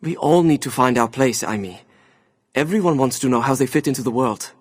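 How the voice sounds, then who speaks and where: A man plays a thoughtful mutant hero in a game cutscene. He speaks with a German accent at a medium pitch, in a calm, courteous and measured way. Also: lightly acted. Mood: gentle, reflective. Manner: formal, courteous, measured phrasing. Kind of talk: video game cutscene acting.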